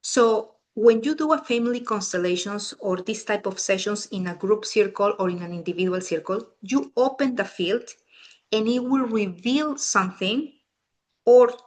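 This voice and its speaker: A woman's voice: mid-range voice, moderate pace, darkish tone. A middle-aged woman from Peru with a Peruvian Spanish accent, a native Spanish speaker, leading a family constellations talk in a casual, chatty way and often restarting her sentences. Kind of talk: casual talk to a small group.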